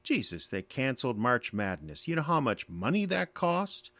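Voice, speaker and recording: An actor with an American accent playing a bitter, defensive middle-aged army veteran in an audio drama, gruff, sardonic and curt. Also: male voice, mid-range voice, big pitch swings, moderate pace, fairly bright tone, no echo. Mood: bitter, argumentative.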